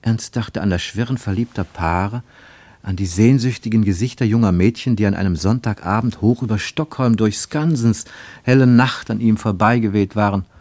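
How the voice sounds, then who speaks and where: A man with pronunciation reading literary prose for radio, measured and deliberate, with emphatic phrasing and pauses. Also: lowish voice, darkish tone, dry recording. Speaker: narrator, reader of literary prose. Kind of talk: scripted literary narration for German radio.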